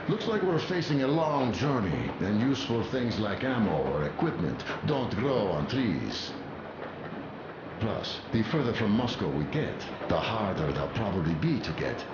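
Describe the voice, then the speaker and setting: An actor playing a friendly, practical army workshop armourer in a video game, chatty, folksy and proudly boastful. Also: male voice, on the low side, very noisy. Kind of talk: video game character acting. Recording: muffled, roomy, loud background ambience.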